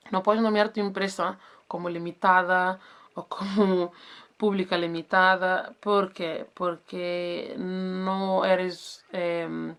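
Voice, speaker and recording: A woman's voice: fairly low pitch, creaky. A woman whose first language is Portuguese, casually explaining self-employment rules and often stopping to search for words and correct herself. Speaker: business advice vlogger.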